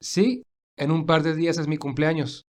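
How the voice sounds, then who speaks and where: A man playing a gentle, devoted young gentleman in an audio storybook, speaking calmly and tenderly. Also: fairly high pitch, normal speed, lightly acted. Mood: tender, teasing. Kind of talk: audio storybook character dialogue.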